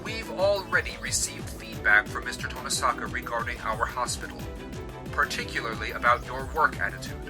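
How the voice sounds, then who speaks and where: A man's voice: mid-range voice, moderate pace, music in the background, natural voice. A man voicing a stern, curt hospital supervisor who scolds in a manga dub. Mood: stern, displeased. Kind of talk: scripted dub acting.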